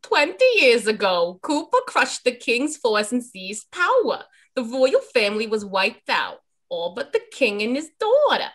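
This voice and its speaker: A solemn rebel captain as acted out by a woman at a script reading, grand and theatrical. Her voice is high and swoops dramatically. She delivers each phrase slowly, with a broad comic-villainess sing-song. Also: big pitch swings, a bit bright, full character voice.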